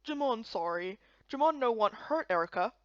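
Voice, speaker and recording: A woman voicing a gruff but kindly male giant who talks in halting, broken, simple-minded phrases. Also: a little high, animated, no echo, highs cut off, natural voice. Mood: apologetic. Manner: broken, halting caveman-like speech.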